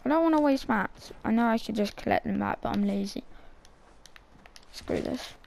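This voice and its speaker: A woman with a croaky, gravelly voice, coughing now and then, casually commenting on her own video game play. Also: a little high, normal speed, a little mellow. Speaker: gameplay streamer.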